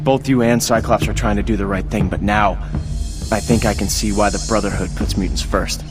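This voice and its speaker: Man playing earnest, low-voiced young hero. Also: steady tone, a little fast, over music, close to an everyday voice. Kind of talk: video game character acting.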